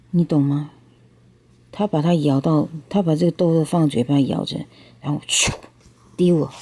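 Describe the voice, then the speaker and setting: A woman with a soft-edged, very mellow voice reads a storybook to a child. Her pitch is low for a woman. She switches between reading and plain bilingual explanation, often asking small questions to check understanding. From Taiwan. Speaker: parent reading a picture book to child.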